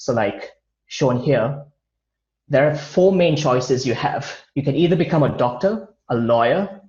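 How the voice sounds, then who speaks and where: A man presents a prepared talk over a video call. He reads from notes at an even, careful pace and sometimes restarts a word. The sound is roomy and dull. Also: on the high side. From India. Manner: measured, read-from-notes delivery with occasional restarts. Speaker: guest speaker. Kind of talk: online presentation over slides.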